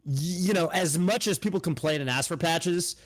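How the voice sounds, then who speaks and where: A man in his thirties co-hosting a podcast, chatting casually about a fighting game, animated, with frequent restarts. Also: on the high side, normal speed. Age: 30s. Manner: animated, talks in restarts, mock outrage. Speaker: podcast co-host. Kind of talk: casual gaming chat.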